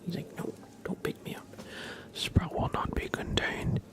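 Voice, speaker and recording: A man doing casual ASMR chat in a hushed, mostly whispered voice, rambling gently. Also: a little slow. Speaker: ASMR livestreamer.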